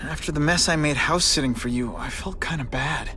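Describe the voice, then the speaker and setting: An actor voicing a young male masked hero in a video game, wry and earnest, with loud music behind. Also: a little high, fairly quick, slight background noise. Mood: subdued.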